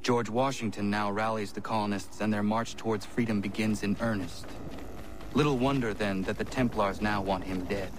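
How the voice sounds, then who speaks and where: An actor playing a proud, earnest young assassin in a video game, speaking in formal, stiff, measured phrases. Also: male voice, on the low side, steady tone, slight background noise, lightly acted. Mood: grave, resolute. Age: young adult. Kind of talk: video game cutscene acting. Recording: music behind the voice.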